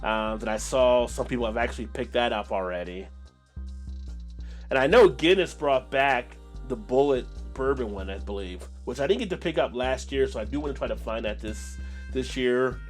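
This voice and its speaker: A man's voice: medium pitch, lively intonation, normal speed, soft background music. An American man hosting a casual live stream, talking to viewers in a rambling, conversational way. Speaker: beer channel live stream host.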